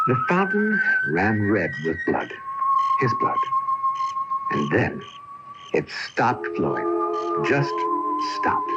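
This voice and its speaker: An actor playing a courtly, melancholy drama coach in a radio drama, speaking eloquently in theatrical, old-fashioned phrases. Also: male voice, on the low side. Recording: dull lo-fi off-air radio tape.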